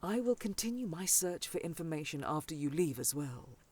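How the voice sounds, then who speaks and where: A woman's voice: deep voice, very animated, brisk, darkish tone, breathy edge, dry recording, exaggerated voice. A woman playing a caring, authoritative older scholarly aunt in a fantasy audio roleplay, with solemn, formal delivery. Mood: tender, earnest. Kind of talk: audio roleplay drama acting.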